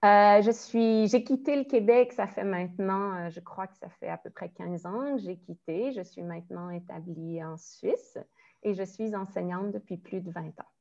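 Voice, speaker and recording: A woman's voice: medium pitch, moderate pace, vocal fry, dark tone, dull audio. A woman in her forties from Quebec, with a Quebec French accent, giving a friendly, explanatory online presentation as a primary school teacher. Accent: Quebec French with some Belgian French. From Quebec, Canada. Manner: friendly, explanatory, with frequent "euh" and small laughs.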